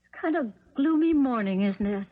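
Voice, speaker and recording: An American woman playing an uneasy, solicitous stepmother in a 1970s radio drama, speaking gently and hesitantly. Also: on the high side, lively intonation, normal speed. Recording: muffled 1970s radio recording.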